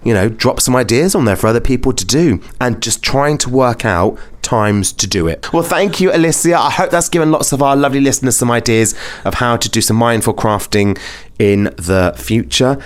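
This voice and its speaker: A man's voice: medium pitch, lively intonation, moderate pace. A man with a British accent hosting a wellness podcast, talking in a casual, chatty, rambling and informal way. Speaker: podcast host.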